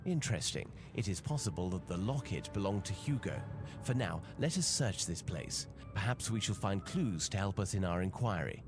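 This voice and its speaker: An analytical gentleman detective for a video game. His voice sits fairly low but moves expressively. He reasons formally and gravely, with prominent music underneath. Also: sing-song. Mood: grave, pensive. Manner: measured, formal, deductive. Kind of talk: video game cutscene acting.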